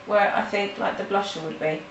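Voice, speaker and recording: A woman with a nasal voice from hay fever, talking halting and casual as she walks through a coloring tutorial at home. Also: mid-range voice, steady tone, highs cut off. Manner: halting, with restarts and fillers. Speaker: art tutorial host. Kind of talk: casual step-by-step tutorial narration. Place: home in the countryside. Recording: roomy, mic at a distance, faint noise.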